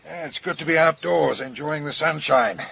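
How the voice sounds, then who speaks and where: An actor playing a level-headed, questioning investigator in a radio mystery drama. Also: male voice, fairly high pitch, creaky, a bit bright, slightly rough, heavily acted. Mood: sceptical. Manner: questioning, measured.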